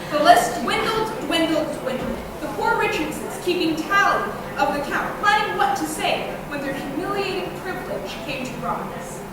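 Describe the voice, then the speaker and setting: A woman's voice: extremely high pitch, expressive, moderate pace, few pauses. A woman reading scripted narration on stage in a reader's theatre play. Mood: descriptive. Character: storybook narrator describing a town meeting. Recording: very echoey, noisy, distant stage recording.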